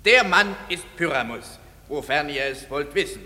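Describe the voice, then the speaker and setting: An actor playing an earnest amateur prologue speaker, declaiming rhymed radio play verse in a pompous, halting, emphatic way. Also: male voice, a little high, lively intonation, pushed and bright. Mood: pompous presenting. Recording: reverberant hall.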